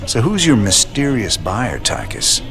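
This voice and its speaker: A low male voice plays a rugged rebel leader in a video game. It has a Southern accent and a gravelly edge, with lively swings in pitch. The character sounds weary yet determined. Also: unhurried, music in the background, exaggerated voice. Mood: gruff, businesslike. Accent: Southern American. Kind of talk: video game cutscene acting.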